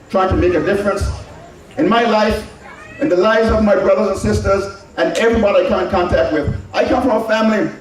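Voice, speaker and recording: A middle-aged man with an Anguillian accent giving an emphatic public speech, repeating key phrases for effect. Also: high-pitched. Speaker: public speaker. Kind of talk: public address with personal reminiscence. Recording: very echoey, distant from the mic.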